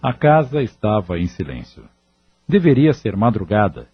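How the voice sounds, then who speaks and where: A man narrates an audio drama from a script. His voice is low and somewhat breathy, and the recording sounds a little dull. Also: big pitch swings, moderate pace.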